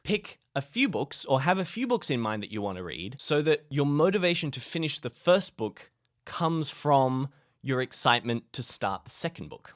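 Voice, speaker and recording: A man's voice: medium pitch, a bit bright, no echo, studio quality. A young man giving casual advice in a vlog, with a wry, self-deprecating manner. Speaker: video host.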